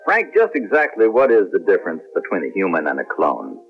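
Dry, skeptical spaceship captain, 1970s radio drama. Low register, dulled broadcast sound. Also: male voice, wildly swooping pitch, a little fast, faint music behind, full character voice. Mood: curious. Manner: dry, wisecracking. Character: wry, skeptical male space pilot captain. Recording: muffled old radio broadcast.

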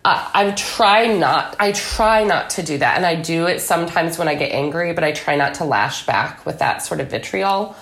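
A woman's voice: sits low, lively intonation, moderate pace, few pauses, a bit bright. A woman speaking as an interview guest in a conversational political discussion, with an emphatic, anecdotal way of talking. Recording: echoey room.

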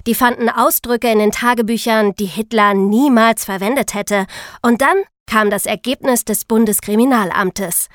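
A woman's voice: a little high, normal speed, no echo, clean studio sound, close to an everyday voice. A woman playing a young podcast reporter, telling true-crime history in scripted, conversational narration. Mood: explanatory.

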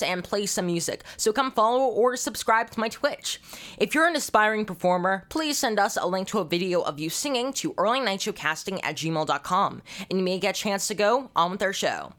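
A show host speaks in a medium-pitched voice, delivering a scripted outro. The delivery is that of a presenter signing off and giving out plugs. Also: bright, forceful tone. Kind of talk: scripted show outro, thanking guests and promoting.

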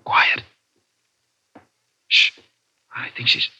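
An actor playing a practical, protective young husband in a 1940s radio suspense drama, speaking in urgent, hesitant questions and short orders. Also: male voice, drawn-out words, pushed and bright, dry recording, exaggerated voice. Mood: hushed urgency. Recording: dull vintage 1940s radio, faint hiss.

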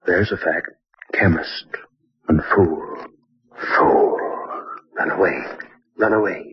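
An actor playing a brooding, guilt-haunted male chemist in an old-time radio horror drama, speaking in halting, repetitive monologue. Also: sits low, breathy edge, no echo, lo-fi sound, exaggerated voice. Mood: haunted, desperate.

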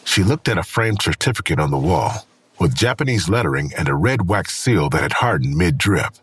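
A man's voice: deep voice, big pitch swings, moderate pace, breathy edge, no room sound. A man reading scripted third-person narration for an audio drama.

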